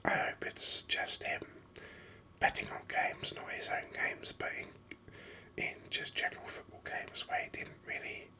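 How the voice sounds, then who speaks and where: A man speaks entirely in a breathy whisper, crisp and very bright in tone. He gives ASMR commentary on a video game, musing aloud without hurry, close to the microphone. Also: a little slow.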